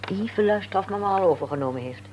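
A woman plays a courteous, inquisitive hostess in a radio play. Her intonation is lively and attentive, over faint hum and noise in the recording. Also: medium pitch, very fast, lightly acted. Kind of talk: Dutch radio drama acting.

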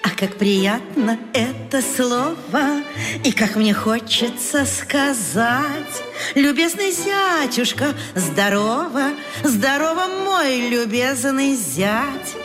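A woman's voice: sits high, lively intonation, slow. An actress playing a proud, doting mother, delivering sung vaudeville couplets in a theatrical style. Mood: proud, joyful. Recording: reverberant stage sound with music.

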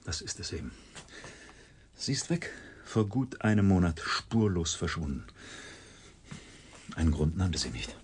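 Deep-voiced, guarded institute scientist. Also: male voice, big pitch swings, unhurried, pauses often, a little hiss or hum. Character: guarded, formal male research institute colleague. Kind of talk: radio drama acting.

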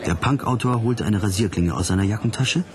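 A man acts a hard-boiled pop detective in a radio play. His voice sits very low. He narrates in a laconic, sardonic way, with dry wit. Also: fairly quick, a crowd in the background, close to an everyday voice. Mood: dry, wry. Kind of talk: scripted radio drama narration.

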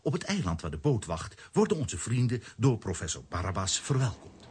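Low, husky animated storyteller. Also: male voice, full character voice. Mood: storytelling. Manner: measured storytelling. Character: storytelling male narrator of a comic adventure. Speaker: narrator. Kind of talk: scripted children's audio drama narration.

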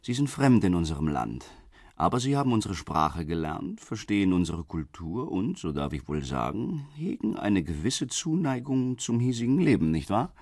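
Smooth menacing official, real deep voice, swoopy intonation, polite threats, radio crime drama. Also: male voice, big pitch swings, darkish tone, no room sound, studio quality, exaggerated voice. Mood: veiled menace. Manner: measured, insinuating politeness with rhetorical questions. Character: smooth, veiledly threatening government official bargaining with prisoner.

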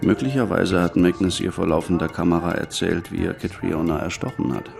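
Deep, gravelly detective. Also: male voice, fairly quick, darkish tone, faint music behind. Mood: analytical. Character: sober, methodical local police detective. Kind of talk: German radio drama dialogue.

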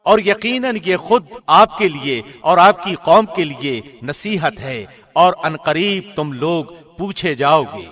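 A man reading a scripture translation from a script in a formal, measured announcer's delivery. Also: fairly high pitch, expressive, fairly bright tone. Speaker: translation reader, radio host.

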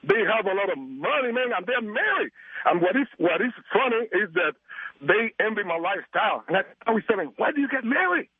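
A man with a Cuban accent calls in over a muffled phone line, his voice high and husky. He talks emphatically, preaching his point with rhetorical questions. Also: big pitch swings, brisk, no room sound. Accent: Cuban Spanish. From Cuba. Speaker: caller. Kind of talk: call-in radio chat. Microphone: telephone.

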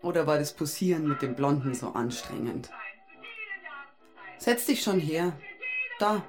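Eine Schauspielerin spielt in einem Hörspieldialog eine schroffe, arme bayerische Frau, spöttisch, ungeduldig und scharfzüngig. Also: female voice, sits low, expressive, measured pace, darkish tone, faint music behind. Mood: mocking. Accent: Bavarian. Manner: mocking, blunt, impatient. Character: brusque, hard-up working-class Bavarian woman, sharp-tongued, nagging. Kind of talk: radio play dialogue.

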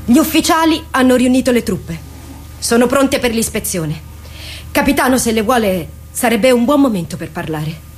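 A woman's voice: on the high side, animated, moderate pace, close to an everyday voice. A woman acting an earnest soldier, faint noise. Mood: dutiful, sober. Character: loyal, earnest woman soldier addressing her captain. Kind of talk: theatrical radio drama monologue.

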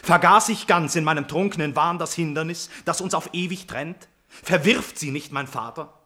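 Proud, troubled young prince. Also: male voice, a little high, normal speed, sharp tone. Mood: anguished pride. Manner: declamatory, solemn, impassioned delivery. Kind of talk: classical verse radio tragedy acting.

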